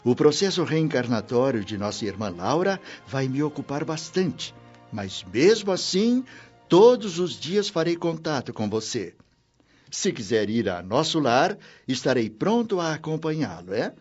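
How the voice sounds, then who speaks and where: An actor playing a benevolent, fatherly spirit minister in a Brazilian radio drama, husky, reassuring and courteously authoritative, with a Brazilian Portuguese accent. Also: fairly high pitch, very animated, measured pace, darkish tone, heavily acted. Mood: kindly, reassuring. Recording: muffled radio drama with music bed.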